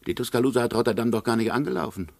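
An actor in a German radio play playing a haughty, evasive American consul with a condescending, officious manner. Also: male voice, medium pitch, racing, creaky, natural voice. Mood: officious, probing.

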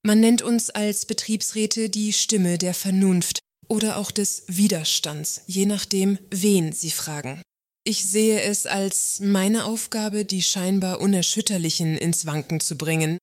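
A self-assured, provocative works councillor, played by a woman in a satirical radio play. She speaks deliberately and assertively at a medium female pitch, recorded very dry. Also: darkish tone, dry recording, lightly acted. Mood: confident, pointed. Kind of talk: German radio play acting.

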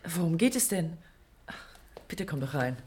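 An actress playing a proud, well-mannered granddaughter, easily indignant, in a German science fiction radio drama. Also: female voice, low voice, expressive, moderate pace, very noisy. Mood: surprised, polite.

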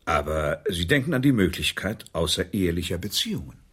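A man's voice: sits low, very animated, a little fast, fairly bright tone, slightly rough, heavily acted. A male actor in a radio crime drama playing a formal, suspicious police investigator who questions in an officious, probing way.

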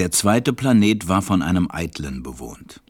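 A man narrating a scripted children's story in a German radio play, with measured storytelling. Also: low-pitched, sing-song, over music.

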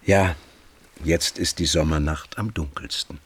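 An actor playing a calm, reflective middle-aged father in a radio drama. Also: extremely low pitch, measured pace, slightly rough, heavily acted. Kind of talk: German radio drama acting.

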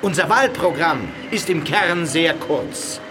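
An actor playing an idealistic presidential candidate in a radio drama, giving a declamatory rally speech in emphatic short phrases with pauses. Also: male voice, on the high side, lively intonation. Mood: rousing, insistent. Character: male presidential candidate, rousing idealistic orator. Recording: noisy rally, loud crowd behind.

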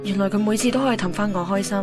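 A woman's voice: medium pitch, very fast, natural voice. A woman playing a young, guarded and wry Hong Kong working woman in a Cantonese radio drama, reflective and slipping in English words. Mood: wistful reflection. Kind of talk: radio drama narration and dialogue. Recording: background music under the voice.

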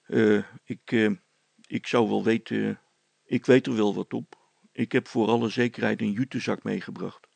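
A man voicing a gruff, simple village father in an audiobook, plain-spoken and hesitant. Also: on the low side, fairly even intonation, normal speed, darkish tone, dry recording, pitched below the performer's own voice, exaggerated voice. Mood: sheepish.

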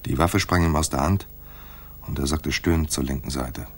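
Husky, low-voiced private detective. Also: male voice, moderate pace. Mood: terse, tense. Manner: dry, understated. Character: wry, tough, laconic male private detective narrator. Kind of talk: hard-boiled radio play narration and dialogue.

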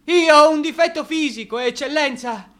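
A man's voice: very high voice, moderate pace, pushed and bright. A man acting in an Italian radio drama as an anguished young clerk losing his mind, pleading and blaming himself. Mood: manic. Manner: anguished, pleading, emotionally overwrought.

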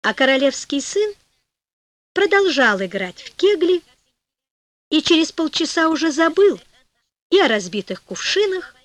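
Female audiobook storyteller: expressive, deliberate, slow pace, dry close studio sound. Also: sits high, no echo. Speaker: audiobook narrator. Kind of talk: expressive fairy-tale narration.

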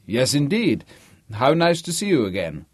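A courteous English don from a storybook, acted by a male reader. He is formal and a little nervous, with a raised, husky voice that moves about a lot. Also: medium pitch, wildly swooping pitch, moderate pace, no room sound, clean studio sound, pitched above the performer's own voice. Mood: flustered, polite. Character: polite, slightly nervous male English academic host.

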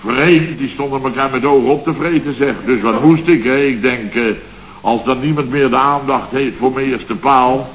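An actor playing a boastful, blustering contractor boss in a radio comedy serial, telling stories in colloquial, indignant style. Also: male voice, medium pitch, steady tone, normal speed, lightly acted. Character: blustering, self-satisfied male building contractor boss. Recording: dull, noisy old radio recording.

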